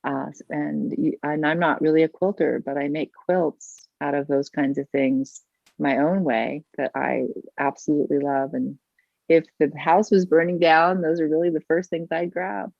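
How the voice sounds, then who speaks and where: A woman's voice: low-pitched, little pitch movement, moderate pace, vocal fry, no echo, muffled recording. A woman teaching art over a video call, effusive and full of praise, often restarting her sentences. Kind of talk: warm, gushing teaching chat.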